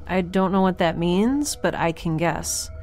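A woman playing a quirky, excitable young woman in a space audio drama, chatty, wry and rambling. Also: on the low side, unhurried, soft background music, natural voice. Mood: eager, wry.

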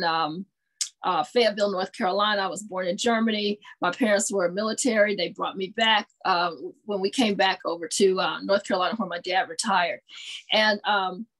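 Husky-voiced woman telling a story on a video call. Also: mid-range voice, low-quality recording. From American South. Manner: warm storytelling with many fillers. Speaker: panelist. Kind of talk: panel discussion on video call. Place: home room with bookshelves. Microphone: video call webcam.

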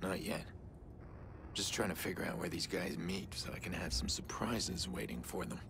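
An actor playing a brooding, calculating vigilante, muttering terse plans to himself in a gravelly voice for a video game. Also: male voice, sits low, expressive, normal speed, background noise. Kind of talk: video game character monologue.

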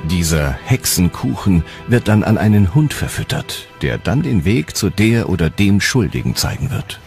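A man with a deep, low voice narrates a historical documentary. His delivery is scripted and dramatic, with music clearly playing underneath. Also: big pitch swings, moderate pace, a little mellow.

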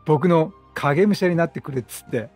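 A man's voice: fairly high pitch, moderate pace, darkish tone, husky. A man chatting casually as he commentates on a video game, with game music behind him. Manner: chatty, reads dialogue aloud in acted voices. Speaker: let's play streamer. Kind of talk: casual game commentary with improvised character dubbing.

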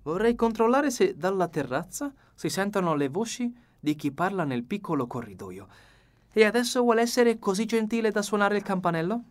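Male reader as excitable foreign detective: high, swooping, courteous, precise, storybook delivery. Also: moderate pace. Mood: courteous, eager. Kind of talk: audiobook character reading.

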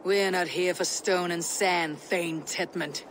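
An actor playing a stern, menacing Viking warrior leader in a video game, terse and commanding. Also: male voice, high-pitched, steady tone, takes it slowly, noisy background. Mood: scornful resolve.

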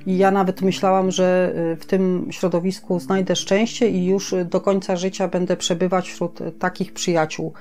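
A middle-aged woman co-hosting a podcast, talking casually and reflectively in halting phrases with frequent pauses. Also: fairly low pitch, flat delivery, a little mellow. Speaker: podcast co-host.

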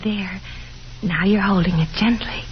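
An actress playing a painfully shy, gentle young woman who speaks hesitantly in a 1950s radio drama. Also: medium pitch, steady tone, a little hiss or hum, close to an everyday voice. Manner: hesitant, halting, self-effacing. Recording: dull 1950s radio broadcast, music behind.

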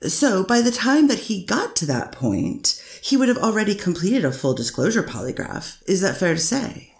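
A woman's voice: mid-range voice, big pitch swings, normal speed, roomy sound. A woman voicing a careful lawyer, questioning a witness step by step in a courtroom reading. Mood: steady questioning. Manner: methodical questioning, many "is that fair to say". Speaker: defense attorney.

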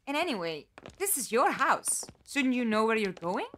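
A woman playing a dry-witted, animated female captive. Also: fairly high pitch, moderate pace, a little hiss or hum. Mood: sceptical. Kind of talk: fan-made audio drama acting.

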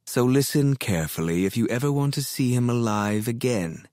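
A woman voicing a smooth-voiced, menacing male kidnapper in an audiobook, purring and icy. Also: a bass voice, animated, mellow, no room sound, clean studio sound, pitched below the performer's own voice. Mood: icy menace. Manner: icy, slow and threatening. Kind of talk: audiobook character voice.

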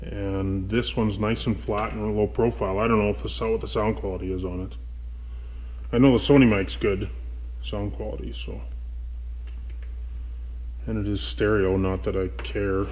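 A man's voice: lowish voice, fairly even intonation, measured pace, pauses often, darkish tone, slight background noise. A man rambling hesitantly as he thinks aloud in a casual, unscripted gear walkthrough. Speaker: motovlogger host. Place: indoors in a garage workshop.

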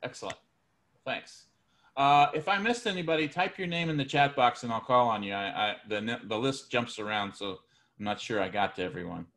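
A man's voice: mid-range voice, normal speed. Male instructor, casual online roll call, easygoing, medium voice. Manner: relaxed, hesitant with fillers. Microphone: video call.

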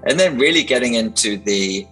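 A man's voice: medium pitch, lively intonation, moderate pace, fairly bright tone, rough recording. A man in his forties, an investor giving informal advice to camera on a video call from a small room. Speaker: startup investor and board member. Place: small attic room indoors. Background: background music.

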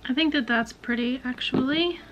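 A woman in her twenties chatting casually to camera in a small bedroom, indecisive and drifting into soft whispers. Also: sits high, normal speed, soft background music. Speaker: beauty vlogger. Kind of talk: casual chat.

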